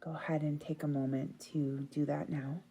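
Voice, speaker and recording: A woman leading a guided relaxation meditation in a soothing, gentle, unhurried manner. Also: low-pitched, flat delivery, breathy edge. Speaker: yoga nidra meditation guide.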